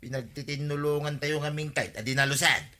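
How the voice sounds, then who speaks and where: A man's voice: on the high side, steady tone, a little fast, bright, forceful tone. A man playing a grumbling, henpecked village husband in an Ilocano comedy radio drama, protesting in a whiny, exclamatory way. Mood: griping banter. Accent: Filipino, Ilocano. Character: grumbling henpecked village husband, farmer-vendor who likes a drink.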